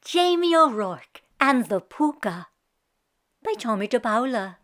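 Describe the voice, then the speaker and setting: A woman reading a children's picture book aloud as an expressive storyteller. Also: on the high side, a little slow. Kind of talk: expressive scripted read-aloud narration for children.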